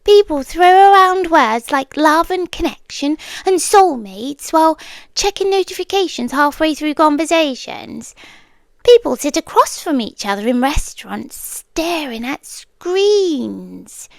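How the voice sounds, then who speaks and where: A young British woman voicing an obsessive young girlfriend who has kidnapped her partner, speaking softly and often dropping into whispers, close to the microphone in an ASMR roleplay. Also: sits high, unhurried, gravelly, no echo, heavily acted. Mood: coolly menacing. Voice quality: calm, intimate, unsettling. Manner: soft-spoken, slipping into whispers.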